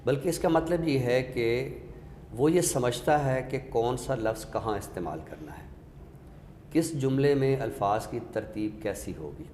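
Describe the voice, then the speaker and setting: A man with a Pakistani accent explaining literature in a scholarly, deliberate way, often by posing questions and giving examples. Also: mid-range voice, lively intonation, warm tone. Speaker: literary expert on a talk show. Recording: echoey hall reverb with background noise.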